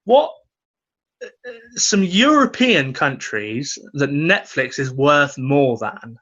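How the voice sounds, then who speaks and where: A man's voice: on the high side, very animated, slow pace, fairly bright tone, dull audio. A man co-hosting a comedy podcast, chatting casually and emphatically about his opinions, repeating words for effect.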